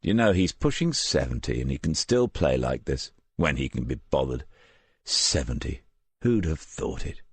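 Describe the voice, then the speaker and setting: A man's voice: a bass voice, animated, moderate pace, slightly rough, no room sound, heavily acted. A man reading the part of a seasoned, reflective police detective in an audiobook, understated and dryly ironic. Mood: wry, musing.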